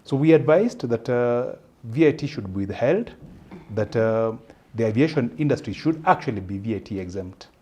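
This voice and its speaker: A man with a Kenyan accent explaining policy as an interview guest, measured and halting as he searches for words. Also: mid-range voice, expressive, a little mellow.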